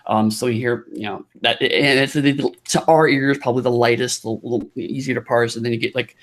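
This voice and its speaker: A man talking as a podcast guest in casual chat, halting and rambling with lots of restarts and fillers. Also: medium pitch, steady tone, a bit bright.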